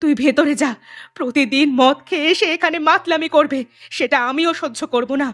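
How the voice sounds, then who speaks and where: A woman's voice: sits high, fairly quick, a bit bright, dry recording. A woman voicing an embittered, defiant village wife and mother in a cartoon, scolding as she goes. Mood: angry defiance. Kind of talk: cartoon drama voice acting.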